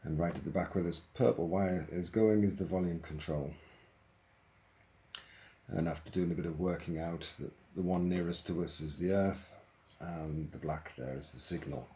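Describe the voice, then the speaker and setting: Very low-voiced man explaining casually. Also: steady tone, moderate pace, dark tone, slight background noise. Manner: informal, thinking aloud. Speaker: hobbyist presenter demonstrating a modified radio.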